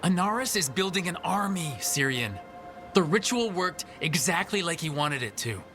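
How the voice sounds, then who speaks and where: An actor voicing a sceptical adventuring hero in a video game, direct and questioning with a wry edge. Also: male voice, on the high side, animated, moderate pace, a bit bright. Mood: challenging. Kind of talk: video game dialogue acting. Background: music.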